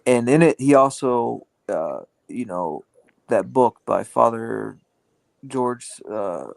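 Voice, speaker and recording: Gravelly guy rambling on his stream, lots of ums and uhs, kinda halting. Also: mid-range voice, lively intonation, no room sound. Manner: rambling, halting, many fillers. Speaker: livestream host. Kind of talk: casual livestream chat.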